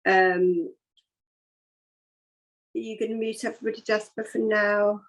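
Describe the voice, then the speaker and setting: A woman's voice: mid-range voice, steady tone, measured pace, poor audio. A woman hosting a live online interview, giving upbeat, effusive introductions over a video call. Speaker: host.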